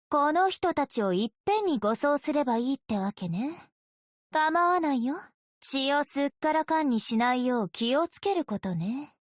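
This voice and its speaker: A woman's voice: high-pitched, dry recording, clean studio sound. A woman voicing a coy, playful young female vampire in an anime-style game, with casually threatening undertones. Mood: cool, teasing. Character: teasing, sinister young female vampire, sweetly menacing. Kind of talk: game character voice lines in Japanese anime acting.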